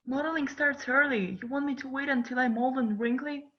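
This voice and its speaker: A woman acts out a stubborn, anxious teenage girl at a script table read. Her voice sits on the high side and carries a defiant, exasperated manner. The recording is slightly dull and lo-fi. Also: fairly even intonation, fairly quick.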